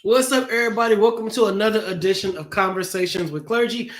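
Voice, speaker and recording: A man's voice: sits high, fast talker, roomy sound. Congested upbeat man in his fifties. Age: 50s. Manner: upbeat, chatty and informal. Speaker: host. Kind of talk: casual show opening.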